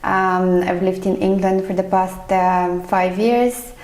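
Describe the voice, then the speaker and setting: A woman's voice: medium pitch, fairly even intonation, takes it slowly, darkish tone, roomy sound. A woman from Bulgaria in her thirties, a finance professional, answering interview questions in a thoughtful, hesitant way with many fillers. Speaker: chief financial accountant, interview guest. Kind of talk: casual podcast interview.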